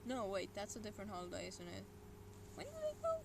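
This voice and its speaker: Casting: young adult with a Swedish accent, mid-pitched voice, meandering solo stream chatter with whispered asides. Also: huge pitch swings, quick pace. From Sweden. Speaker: livestreamer. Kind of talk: casual solo stream chat. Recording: noisy room ambience.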